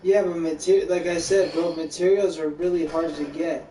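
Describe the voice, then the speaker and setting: A man's voice: on the high side, fairly even intonation, normal speed, reverberant, recorded from a distance, noisy background. A man streaming a game, giving repetitive mentor-like advice in casual chat and calling the listener bro and buddy. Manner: talks like an older mentor, repeats himself, calls the listener bro and buddy.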